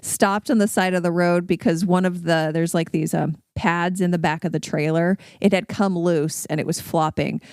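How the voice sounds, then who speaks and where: An American woman with a clear, smooth voice at a middling pitch. She chats and tells anecdotes casually as a podcast guest, recorded close and dry. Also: fairly even intonation, moderate pace, few pauses, darkish tone, no room sound.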